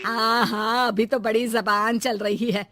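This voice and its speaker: A woman's voice: fairly high pitch, flat delivery, unhurried, music in the background, lightly acted. A woman playing a scheming old mother-in-law and grandmother in a moral-story cartoon, scolding and sarcastic. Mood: bitter, sarcastic.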